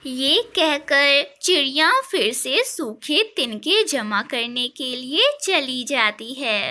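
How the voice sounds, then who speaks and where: Female narrator for children's tales: high, bright voice, unhurried delivery. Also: expressive. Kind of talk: scripted children's story narration.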